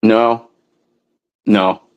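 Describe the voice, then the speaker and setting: A man's voice: lowish voice, flat delivery, drawn-out words, clear, resonant tone, highs cut off. A man in a casual interview, talking in a loose, rambling way with lots of restarts and some swearing. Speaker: guest musician.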